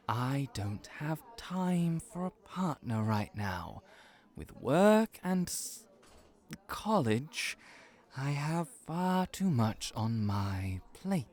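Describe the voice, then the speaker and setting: Man playing a very slow, exasperated snake hybrid. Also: medium pitch, heavily acted. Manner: deadpan, sardonic, formal wording. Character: dry, exasperated snake hybrid cafe worker, college student. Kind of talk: audio roleplay acting.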